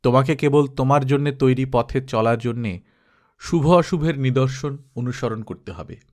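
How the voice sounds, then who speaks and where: A man voicing a wise old king who teaches calmly in an audiobook. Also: medium pitch, normal speed, natural voice. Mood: wise guidance. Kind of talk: audiobook dialogue.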